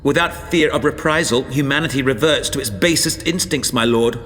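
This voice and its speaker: A dutiful soldier, acted by a man, speaks formally and with deference to his lord in an audiobook drama. The voice sits in long reverb with a faint rumble behind it. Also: fairly quick, a bit bright, lightly acted. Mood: wary deference. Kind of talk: dramatic audiobook character acting.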